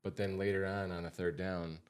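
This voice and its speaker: A man with a low-pitched American voice hosts a casual podcast. He speaks like an advisor chatting with listeners, repeating key points for emphasis in an informal way. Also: flat delivery, a little fast, darkish tone, clean tone. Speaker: podcast host and financial advisor.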